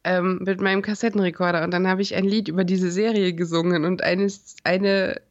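A woman's voice: lowish voice, level tone, fairly quick. Playful woman co-host, dull recording. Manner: playful, giggly. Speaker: podcast co-host. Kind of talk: casual TV-episode recap chat. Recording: dull lo-fi sound.

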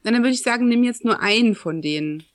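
A woman's voice: on the high side, animated, fast talker, no room sound. A woman co-hosting a podcast, bantering casually and playfully about a TV series. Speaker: podcast co-host.

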